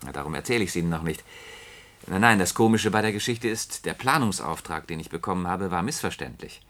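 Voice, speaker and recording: An actor playing a puzzled, frustrated planning engineer in a science-fiction radio play, talking in a conversational, explanatory way. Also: male voice, low voice, animated, fairly quick, a bit bright. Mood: frustrated, baffled. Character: puzzled, frustrated male planning engineer doubting his company.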